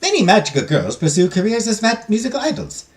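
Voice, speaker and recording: Formal lady lecturer of a magical-girl academy, put on by a man, fake Spanish-like accent, pitch swinging widely. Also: huge pitch swings, quick pace. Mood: lecturing. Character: prim female magical-girl academy teacher. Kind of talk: reading game dialogue aloud.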